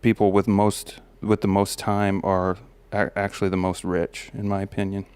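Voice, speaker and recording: Man, low steady voice, smooth with slight gravel, reflective host talking, dry close sound. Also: normal speed. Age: adult parent of a daughter. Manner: relaxed, musing, many fillers and restarts. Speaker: podcast host. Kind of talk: reflective personal monologue.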